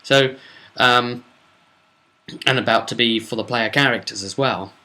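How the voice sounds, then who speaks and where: Bright-voiced man recounting a tabletop game. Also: mid-range voice, a little slow. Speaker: game master. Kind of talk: casual recap narration of a tabletop role-playing session.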